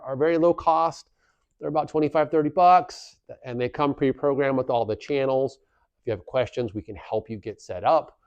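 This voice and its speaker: A man's voice: medium pitch, darkish tone, clear, resonant tone, no echo, dull audio. A man in his forties explaining things plainly and reassuringly, like an informal instructor on a how-to video, on a clip-on mic. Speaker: instructor presenting a community video series. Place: small plain office room, indoors. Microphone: lavalier clipped at the collar.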